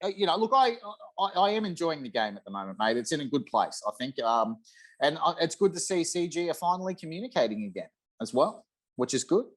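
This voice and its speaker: A man's voice: fairly high pitch, big pitch swings, a little fast. A man in his thirties chatting casually and emphatically about a video game on a video call, with lots of enthusiasm. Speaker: podcast guest. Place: room at home, on a video call.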